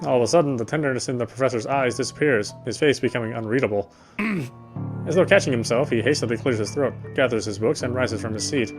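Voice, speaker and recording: A man narrating a story in the first person, reading in a steady, reflective way with small slips. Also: mid-range voice, brisk, music in the background.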